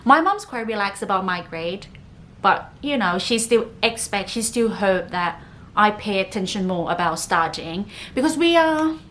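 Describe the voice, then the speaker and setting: A woman's voice: mid-range voice, lively intonation, moderate pace, a bit bright, a little hiss or hum. Chatty Thai-accented woman podcaster. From northern Thailand. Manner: chatty, leans on frequent "like" fillers. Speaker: podcast host. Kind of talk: casual solo podcast talk.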